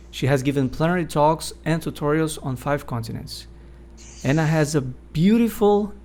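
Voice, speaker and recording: A man hosting an interview, politely introducing his guest and asking measured, confirming questions. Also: a little high, a little mellow. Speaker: interview host.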